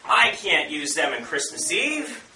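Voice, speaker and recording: A commanding storybook Santa, as a male storyteller plays him, addresses his team in a folksy, emphatic way. The voice is bright and lively in pitch, heard from afar in an echoing room. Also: unhurried, exaggerated voice. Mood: exasperated, decisive. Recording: roomy, far from mic, faint noise.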